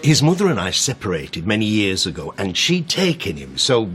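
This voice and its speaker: A man's voice: fairly low pitch, huge pitch swings, moderate pace, a bit bright, faint music behind. An actor playing a genial, sentimental older father in a radio drama, talking in a hesitant, conversational way. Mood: reflective, sentimental. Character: genial, sentimental older father, semi-retired family furniture boss. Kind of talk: naturalistic radio drama acting.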